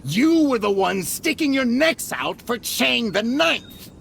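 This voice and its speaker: Slow-talking, pompous merchant villain. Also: male voice, extremely high pitch, sing-song, creaky, fairly bright tone, a little hiss or hum, heavily acted. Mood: indignant, accusing. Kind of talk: video game character acting.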